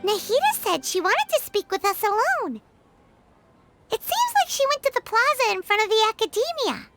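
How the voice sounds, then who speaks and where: Tiny fairy sidekick, extremely high squeaky voice, swooping and excitable, cartoonish game dialogue over loud music. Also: female voice, normal speed, full character voice. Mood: bright. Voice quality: squeaky, childlike. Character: tiny excitable childlike fairy companion, cheerful and loyal. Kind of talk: cartoonish video game voice acting.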